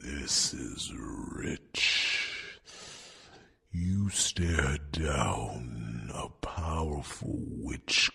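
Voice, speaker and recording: A man voicing an old, wise male dragon mentor in an ASMR fantasy roleplay, slow and gently teasing with soft chuckles and breathy whispers. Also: full character voice. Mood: fond, teasing. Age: older. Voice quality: deep gravelly voice with breathy whispers.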